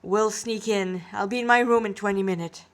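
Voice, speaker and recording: A woman's voice: mid-range voice, fairly even intonation, normal speed, natural voice. A woman voicing a young cadet boy in an audio story, shaken, grim and hesitant. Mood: hesitant, weary. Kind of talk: dramatic amateur audio story.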